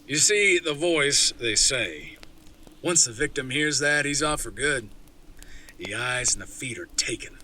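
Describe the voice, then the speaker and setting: A man playing a hearty backwoods man in a horror audio drama, with folksy rural phrasing and a storyteller's pauses. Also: medium pitch, expressive, pushed and bright, a little hiss or hum. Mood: ominous storytelling. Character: gruff, hearty backwoods man retelling wilderness legends.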